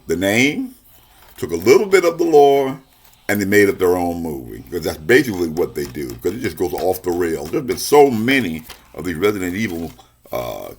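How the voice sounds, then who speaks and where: A man with a very low, rough-edged voice presents a review show in a casual, conversational style. His pitch moves around a lot as he talks, and he puts emphatic weight on key words, often repeating a point. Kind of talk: casual review commentary to camera.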